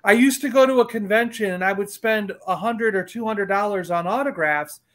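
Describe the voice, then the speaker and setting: A man's voice: sits high, brisk, fairly bright tone. A middle-aged man in casual chat, talking in a rambling, emphatic way and repeating himself. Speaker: collector and panelist on a fan livestream.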